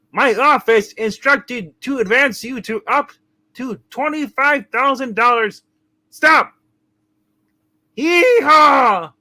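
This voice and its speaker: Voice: high-pitched, pushed and bright, exaggerated voice. A performer playing a boisterous, jokey small-town character in a hammed-up amateur radio play reading, with a clownish, exclamatory manner. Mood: loud, excited.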